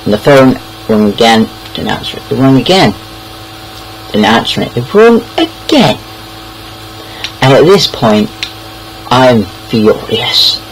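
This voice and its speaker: A man's voice: medium pitch, animated, a little slow, long pauses, slight background noise, low-quality recording. A young British man casually telling anecdotes in a rambling, hesitant way, repeating words as he goes. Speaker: online storyteller.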